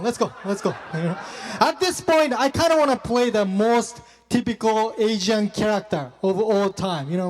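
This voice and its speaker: Halting man doing stand-up. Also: sits high, in a large hall. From Japan. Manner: self-deprecating, halting delivery with many fillers and restarts. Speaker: stand-up comedian. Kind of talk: stand-up comedy set.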